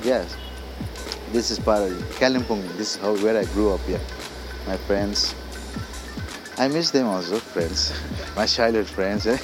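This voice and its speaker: A Nepali-speaking man with a Nepali accent, a singer-songwriter talking casually as a guest, halting and full of fillers like "you know" and "like". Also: fairly low pitch, over music. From Darjeeling hills, India. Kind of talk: casual interview. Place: indoors.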